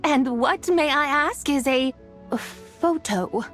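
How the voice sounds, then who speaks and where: A woman's voice: high-pitched, takes it slowly, over loud music. A woman playing a regal, curious immortal visitor in a video game, speaking in a formal, archaic and stately manner.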